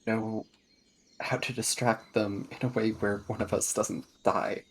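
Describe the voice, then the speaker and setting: A man's voice: on the low side, flat delivery, a little slow, creaky, a little hiss or hum, poor audio. A man playing a tabletop roleplaying game, improvising his character's words and actions in casual talk.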